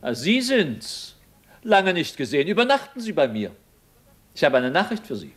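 A man's voice: on the high side, very animated, moderate pace, a bit bright, slightly rough, exaggerated voice. A man playing a boisterous, self-satisfied partisan leader in a radio play, hearty, brusque and repeating himself for emphasis. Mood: hearty, casual. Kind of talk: 1950s German radio drama acting.

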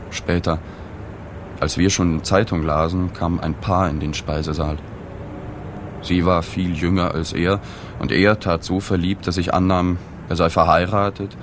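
An actor playing a sober, observant journalist in a German radio drama, speaking in a plain, understated way. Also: male voice, deep voice, background noise, natural voice. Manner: plain, understated, matter-of-fact. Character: reserved, sober male journalist, observant and detached. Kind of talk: radio drama dialogue and first-person narration.

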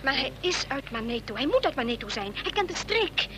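A woman's voice: high voice, a little fast, full character voice. An actress playing an anxious, alert young woman on the run in a Dutch radio play. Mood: anxious, pleading. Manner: anxious, excited. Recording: very noisy radio play, vehicle sounds.

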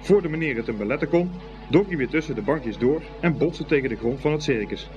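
A man with a middle-pitched voice narrates a radio play in storybook style. Music plays clearly behind his scripted telling. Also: animated, brisk, dull audio.

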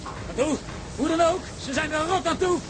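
A man's voice: very high-pitched, normal speed. An actor playing an agitated, loyal seaman in a radio drama, insistent and stammering over repeated words. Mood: stubborn. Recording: engine and sea noise behind.

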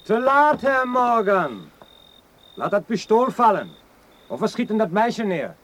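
A man's voice: high-pitched, very animated, a little slow, fairly bright tone, full character voice, poor audio. An actor playing a mockingly polite henchman in a radio drama. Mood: commanding, threatening. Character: menacing, mockingly courteous German henchman with a gun.

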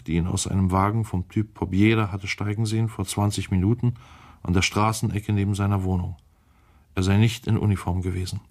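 A man's voice: on the low side, a little mellow. A man reading a novel aloud as scripted literary narration. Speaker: audiobook reader.

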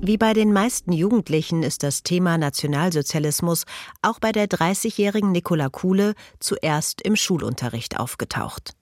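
A woman narrates a radio documentary from a script in a measured, factual manner. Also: fairly low pitch, lively intonation, a little mellow, no echo, clean studio sound, soft background music. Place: radio studio.

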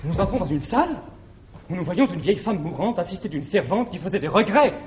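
A man playing a lovestruck, anxious young gentleman in a classic French farce, declaiming effusively and theatrically in a roomy old stage recording. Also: high voice, sing-song, a little fast, noisy background. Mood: rapturous, effusive. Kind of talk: classical stage comedy acting.

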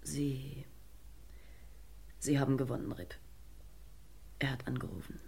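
A woman's voice: extremely low pitch, flat delivery, measured pace, a little mellow, slightly rough, exaggerated voice. An actress playing an anxious young mother being blackmailed in a German radio crime drama, hesitant and pleading. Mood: defeated. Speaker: actor.

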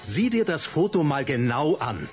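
A father, stern and exasperated. His mid-range voice moves a lot in pitch, with music clearly audible behind in this audio play. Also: sing-song, moderate pace, a little mellow.

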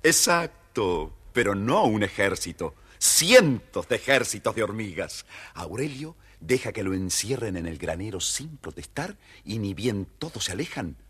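A man with a husky voice narrating a children's tale theatrically, with dramatic pauses. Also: lowish voice, sing-song, a bit bright. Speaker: storyteller.

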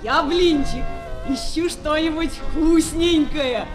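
A woman's voice: sits high, slow pace. A woman playing a sly, greedy clown who drawls in mock innocence in a children's audio sketch. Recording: old flexi-disc with music.

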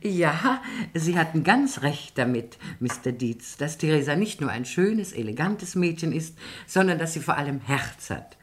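A woman's voice: low-pitched, very animated, unhurried. An actress playing a gracious, calculating middle-aged society mother in a German radio play, effusive and genteel.

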